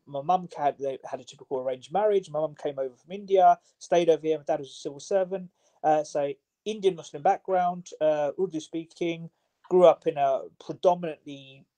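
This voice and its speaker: A man's voice: fairly high pitch, moderate pace, dark tone, poor audio. A man, a personal trainer, answering interview questions in a chatty way, with many fillers and restarts. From Southwest London.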